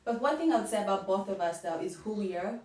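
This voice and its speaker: A young woman with a Ugandan accent chats quickly and easily, laughing often as she talks. She sounds a little far from the mic, in an echoey room. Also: medium pitch, lively intonation, a little mellow. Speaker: co-host. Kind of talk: casual conversation.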